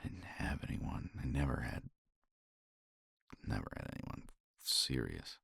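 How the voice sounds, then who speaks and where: A man speaking softly and reflectively in a romantic ASMR roleplay, sighing often and sometimes dropping to a whisper, close to the mic. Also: very deep voice, animated, unhurried, gravelly, slightly rough, dry recording. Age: older. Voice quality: soft, intimate, drifts into whispers.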